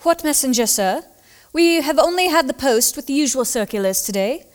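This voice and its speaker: A woman's voice: sits high, expressive, normal speed. A woman playing a polite, deferential Victorian household servant in a period drama. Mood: puzzled, helpful. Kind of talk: period audio drama acting.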